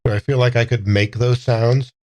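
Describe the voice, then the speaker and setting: Male tabletop player, mid-range voice, thoughtful, halting, careful wording. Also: medium pitch, steady tone, darkish tone. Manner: halting, careful word choice. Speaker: player in a tabletop game podcast. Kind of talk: thoughtful improvised game-table talk.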